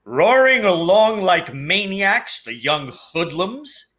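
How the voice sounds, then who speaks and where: A man's voice: high voice, sing-song, slow, a bit bright, voice pushed up, heavily acted. A man voicing a bullying, hot-tempered middle-aged uncle from a storybook, menacing and emphatic. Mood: grumbling.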